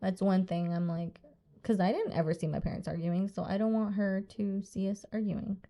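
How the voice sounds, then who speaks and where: A woman's voice: mid-range voice, moderate pace, warm tone. A woman in her late twenties, co-hosting a podcast in casual, blunt chat that mixes in Spanish phrases. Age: 20s. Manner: candid, swears freely, mixes in Spanish phrases. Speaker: podcast co-host.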